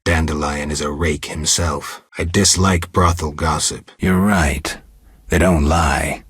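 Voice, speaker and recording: A man playing a stoic, blunt monster hunter in a video game, with a gravelly, husky voice and a terse, deadpan manner. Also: a bass voice, slow, no room sound, clean studio sound, heavily acted. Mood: deadpan, matter-of-fact. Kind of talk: video game dialogue acting.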